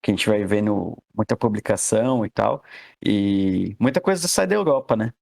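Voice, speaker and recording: A man on a podcast asking a guest a question in a hesitant, halting way, repeating words as he searches for them. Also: on the low side, lively intonation, gravelly, a little mellow.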